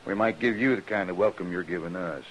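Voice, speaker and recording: An actor playing a gruff, aggrieved Texas trail boss in an old-time radio western, blunt and challenging, with folksy cowboy grammar. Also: male voice, deep voice, fast talker, fairly bright tone. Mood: hostile. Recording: dull, hissy old radio recording.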